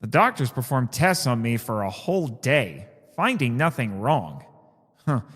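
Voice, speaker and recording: A man's voice: mid-range voice, big pitch swings, measured pace, fairly bright tone, breathy edge, big-room sound, exaggerated voice. A man playing a weary, sardonic radio host in an audio drama, dry and dramatic, switching between first-person narration and dialogue. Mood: wry, subdued. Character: sardonic, weary young male radio host who survived a crash.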